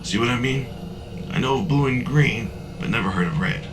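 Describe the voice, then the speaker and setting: A man's voice: mid-range voice, animated, moderate pace, noisy background, close to an everyday voice. A man playing a wary, street-smart cyberpunk drifter in an audio drama, terse and cautionary. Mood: terse, guarded. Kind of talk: science fiction audio drama acting.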